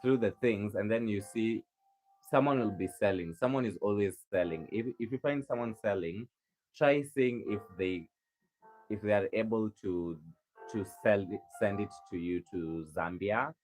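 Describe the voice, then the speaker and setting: Guy on a live stream, lowish voice, chatty and helpful, faint music playing behind. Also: normal speed, darkish tone. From Kenya. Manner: chatty, helpful, lots of restarts. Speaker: rug maker livestreaming. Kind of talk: casual livestream chat answering viewers.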